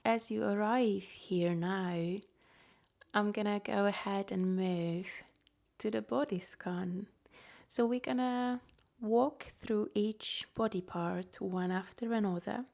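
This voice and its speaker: A woman guiding a relaxation meditation as a yoga nidra teacher, soft-spoken and gentle, offering unhurried invitations. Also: mid-range voice, vocal fry.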